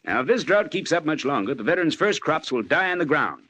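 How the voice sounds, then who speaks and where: An actor playing a folksy, evasive small-town newspaper editor in a 1940s-style radio drama. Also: male voice, on the high side, sing-song, fast, sharp tone. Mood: confiding. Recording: noisy old-time radio recording.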